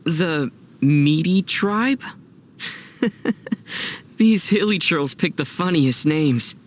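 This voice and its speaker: Boyish traveler hero, wry understatement, easygoing, video game acting, varied intonation, faint background noise. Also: male voice, a little high, expressive, very slow. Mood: calm, curious. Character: youthful male traveler hero, easygoing, dryly teasing.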